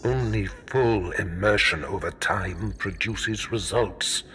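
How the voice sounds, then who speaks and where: An actor playing a stern, contemptuous Sith master in a video game, cold and deliberate as he lectures. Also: fairly low pitch, pushed and bright, a little hiss or hum. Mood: contemptuous lecturing.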